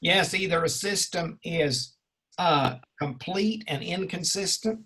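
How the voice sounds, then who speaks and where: A man leading an informal seminar discussion as the instructor, effusive and erudite, rambling and joking, with a slightly husky voice. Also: a little high, takes it slowly, bright, forceful tone. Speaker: instructor leading an online class session.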